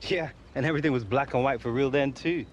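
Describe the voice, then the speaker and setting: A man's voice: mid-range voice, quick pace, a little hiss or hum, natural voice. An actor playing a supportive, laid-back young male footballer in a video game cutscene. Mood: relaxed. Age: young adult.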